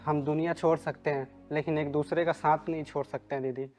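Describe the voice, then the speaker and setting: A man's voice: on the high side, flat delivery, fairly quick, a little mellow, soft background music, natural voice. A young man playing a devoted, anxious runaway groom in a Hindi moral drama. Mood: anxious devotion.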